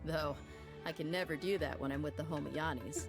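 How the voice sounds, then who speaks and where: A woman's voice: low-pitched, a little fast, music in the background. A voice actress playing a blunt, confident female mercenary leader who speaks frankly and steadily. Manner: frank, self-assured and steady. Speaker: game character.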